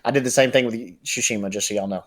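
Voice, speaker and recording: A man's voice: medium pitch, very fast. An American man co-hosting a podcast, giving his opinions in casual pop-culture conversation. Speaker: podcast co-host.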